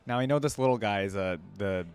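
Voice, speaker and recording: A man's voice: lowish voice, quick pace. A man giving casual, off-the-cuff reaction commentary as a streamer.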